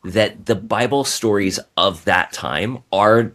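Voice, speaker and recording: Male podcast guest, lowish voice, informal and explanatory conversational delivery. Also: slow, fairly bright tone.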